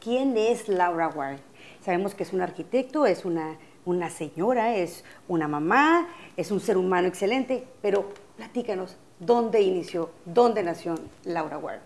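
A woman's voice: on the low side, lively intonation, moderate pace, a little mellow. A woman hosting a morning TV show, introducing her guest and asking questions in an emphatic way. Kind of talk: TV studio interview. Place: TV studio set. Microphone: lapel.

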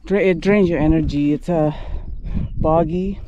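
A middle-aged woman hiking alone, thinking aloud to a camera held at arm's length, winded from climbing and given to breathy exclamations. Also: low voice, a little slow. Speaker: solo long-distance hiker. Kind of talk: first-person hiking vlog narration. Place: open windy moorland outdoors. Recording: dull outdoor camera audio with wind noise.

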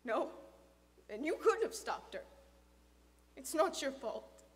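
A woman voicing a stern, suspicious, grieving middle-aged father in an audiobook, forceful and emotional. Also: high-pitched, sing-song, slow, in a large hall, exaggerated voice. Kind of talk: dramatic audiobook character acting.

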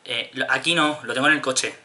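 A man's voice: mid-range voice, steady tone, fairly quick, pushed and bright, a little hiss or hum. A man in his twenties with a Spanish accent from Spain, making a prank phone call with insistent, straight-faced complaints. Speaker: prank caller. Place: small home room.

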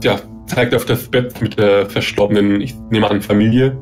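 Low-voiced man role-playing, halting delivery. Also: fairly even intonation, a little mellow. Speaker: tabletop game player. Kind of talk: in-character role-play. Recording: guitar music behind the voice. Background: guitar music.

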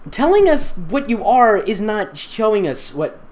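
A man's voice: sits high, very animated, measured pace, very noisy. A man doing a comedic fan fiction reading with sarcastic, exasperated, theatrical commentary. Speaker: voice actor and fan fiction reader.

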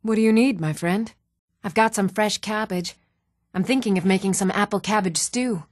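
A woman's voice: mid-range voice, normal speed, dry recording, clean studio sound, natural voice. A woman as a plain-spoken fantasy village woman. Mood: conversational, firm. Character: plain-spoken, hardworking village woman, firm motherly townswoman. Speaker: video game voice actor. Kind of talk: scripted fantasy game dialogue.

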